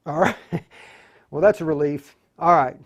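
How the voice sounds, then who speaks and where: A man with a slightly high voice is hosting a hands-on tutorial. He explains things informally, stopping and starting as he thinks aloud, like a hobbyist radio operator showing the steps. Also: unhurried, muffled recording. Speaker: livestream tutorial host, amateur radio operator. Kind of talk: casual hands-on demonstration.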